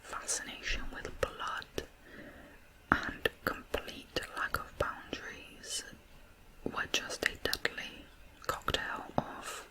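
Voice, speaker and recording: A soft whisper with no voice in it, delivered very slowly. The host narrates true crime in ASMR style, reflective and a little hesitant as the thoughts come. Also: poor audio.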